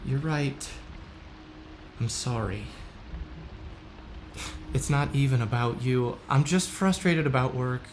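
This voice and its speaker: An actor playing a frustrated, earnest husband in a musical audio drama, speaking in a pressing, wounded way. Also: male voice, mid-range voice, takes it slowly, pauses often, noisy background. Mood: earnest, apologetic.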